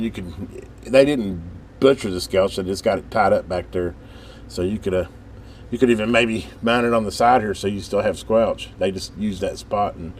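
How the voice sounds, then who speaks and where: An American man chatting casually and hands-on as a radio hobbyist, in a folksy, rambling way as he thinks aloud. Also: lowish voice. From United States. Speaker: radio hobbyist presenting on his channel. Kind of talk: casual hands-on video chat. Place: workbench with radio gear. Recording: steady background noise.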